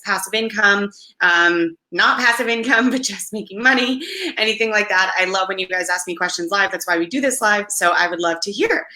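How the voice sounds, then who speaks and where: Slightly hoarse, bright, upbeat young woman. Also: fairly low pitch, roomy sound, poor audio. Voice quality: raspy. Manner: enthusiastic, frequent laughs, encouraging. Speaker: host of a weekly live business show. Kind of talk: upbeat livestream talk.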